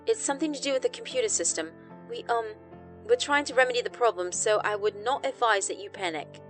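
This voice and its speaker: A woman's voice: fairly high pitch, faint music behind, pitched above the performer's own voice. Woman acting frantic, stammering female doctor. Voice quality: cracked, breathy. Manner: stammering, halting, formal. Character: frantic female doctor losing her composure. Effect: intercom speaker.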